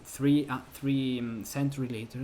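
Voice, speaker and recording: A man's voice: mid-range voice, flat delivery, moderate pace, dark tone, slight background noise. A man from Italy speaking English with an Italian accent, talking casually about an old music book as host, with many restarts and easy laughter. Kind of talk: casual discussion of a music treatise.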